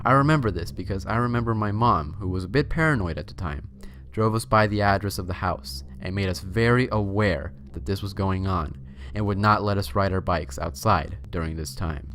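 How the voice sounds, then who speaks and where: A man's voice: deep voice, brisk, a bit bright, slight background noise. A man hosting and reading a scary story as narration, with a theatrical, suspenseful delivery. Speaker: host and story reader.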